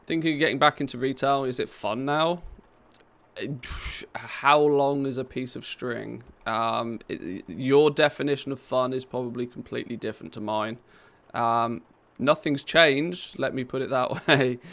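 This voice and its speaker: A man's voice: medium pitch, normal speed, a bit bright. A British man chatting casually on a live game stream, reading out and answering viewers' questions with easy laughter. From Britain.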